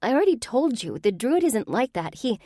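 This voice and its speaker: Scared young woman pleading, pitched up high, done by a female narrator, dry close sound. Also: sing-song, moderate pace, warm tone, no echo. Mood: anxious. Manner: anxious, pleading.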